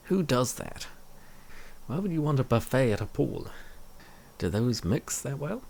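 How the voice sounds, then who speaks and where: A man's voice: medium pitch, big pitch swings, unhurried, creaky, darkish tone. A man giving reaction commentary on a comic, with mock-dramatic asides and rhetorical questions.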